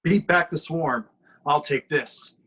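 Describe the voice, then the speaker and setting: A man playing a steady, commanding superhero leader with a husky voice in a script table read. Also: fairly high pitch, slow, heavily acted.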